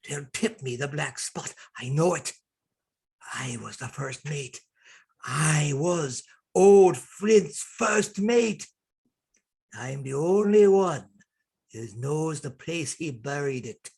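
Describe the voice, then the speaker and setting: An actor playing a gruff, haunted old sea captain in a radio play reading, husky and gravelly, with brooding, salty sailor talk. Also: male voice, fairly high pitch, slow pace, a little mellow, exaggerated voice. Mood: feverish, secretive.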